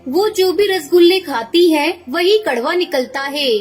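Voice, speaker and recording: Very high-voiced woman narrating children's stories. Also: measured pace. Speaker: story narrator. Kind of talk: scripted children's story narration.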